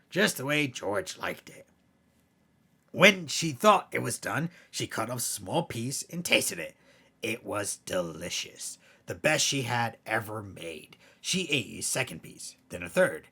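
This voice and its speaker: Deep, gravelly man reading scary stories. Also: sharp tone. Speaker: storyteller, host. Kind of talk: dramatic scary bedtime story narration.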